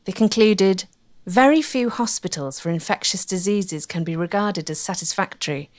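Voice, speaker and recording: A woman reads scripted documentary narration in a measured, articulate way. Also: mid-range voice.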